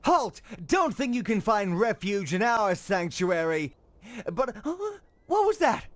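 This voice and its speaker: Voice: medium pitch, big pitch swings, slow pace, no echo. An actor playing a bossy sanctuary guardian in a video game, declaiming orders to soldiers. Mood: stern, puzzled. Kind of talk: video game cutscene acting.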